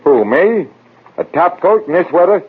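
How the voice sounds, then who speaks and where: A blunt, defensive team manager in a vintage radio drama. His voice is rough and swings a lot as he talks in wry slang. The sound is thin and old. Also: high-pitched, expressive, moderate pace. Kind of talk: 1940s radio drama acting. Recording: tinny old radio broadcast.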